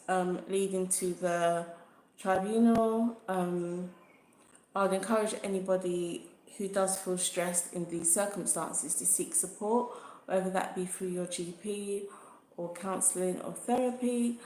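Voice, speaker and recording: A woman vlogger talking earnestly and thoughtfully about her own experience, in an unhurried way. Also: fairly low pitch, a little mellow, a little hiss or hum. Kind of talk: personal experience vlog. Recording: echoey room.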